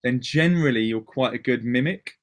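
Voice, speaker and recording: A man from Wales, a DJ and producer, chatting as a guest in an interview, talking in quick bursts with many restarts. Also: medium pitch. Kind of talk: casual interview chat.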